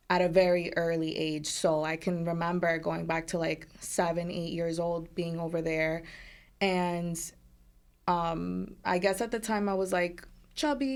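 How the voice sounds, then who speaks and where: A woman dietitian who grew up in the United States, a guest speaking in an upbeat, casual way and laughing often. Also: fairly low pitch. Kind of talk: casual interview talk.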